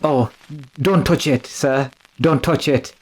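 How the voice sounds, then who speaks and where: A man's voice: medium pitch, slow pace, breathy edge, poor audio. A man playing a nervous, deferential manservant in a radio drama, with a fretful, complaining way of talking. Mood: frightened. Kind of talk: classic radio drama acting.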